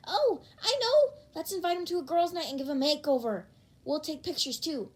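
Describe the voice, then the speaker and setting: A woman's voice: sits high, expressive, normal speed, pitched above the performer's own voice. A woman voicing a cheery, scheming teenage schoolgirl with an eager, chirpy manner in a fan story reading.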